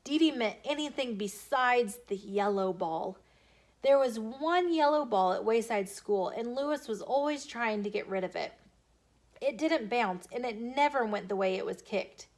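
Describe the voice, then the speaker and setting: High-voiced woman reading a children's story. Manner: expressive, deliberate storytelling delivery addressed to children. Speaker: storytime host reading children's books aloud. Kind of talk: children's storytime read-aloud narration. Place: filmed in a bookstore.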